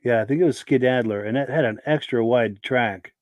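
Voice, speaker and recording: A man hosting a podcast in a relaxed, chatty way, reading out viewer comments while his voice is hoarse from a sore throat. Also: mid-range voice, a little fast, lo-fi sound. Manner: relaxed, chatty, affirming with yeahs. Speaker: podcast host.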